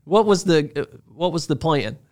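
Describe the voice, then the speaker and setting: A man's voice: fairly high pitch, lively intonation, moderate pace, darkish tone. Friendly male interview host. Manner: friendly, curious questioner. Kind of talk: casual interview conversation.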